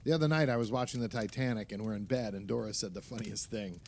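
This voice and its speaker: A man's voice: fast talker, a little mellow, close to an everyday voice. Actor as jovial man, faint noise behind. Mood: amused. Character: jovial husband telling anecdotes among friends. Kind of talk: radio play acting, casual storytelling. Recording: faint rumbling background noise.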